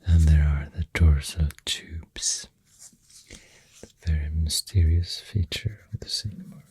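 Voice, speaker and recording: A soft-spoken ASMR host with a Swedish accent, rambling gently through facts in a hushed, breathy, whispery voice with unhurried pauses, close to the microphone. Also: a bass voice, no echo. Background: pencil writing and clicking sounds.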